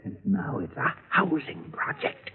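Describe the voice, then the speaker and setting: An actor in a 1950s radio drama playing a frail, nervous elderly man who grows orchids, halting and fussy in his speech; the recording sounds like an old broadcast. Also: narrow, tinny audio, natural voice. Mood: fretful. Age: older.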